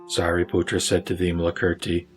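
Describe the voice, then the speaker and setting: A man narrating a sacred text in a slow, measured, solemn reading. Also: low voice, completely flat delivery, faint music behind. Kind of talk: scripted sutra narration.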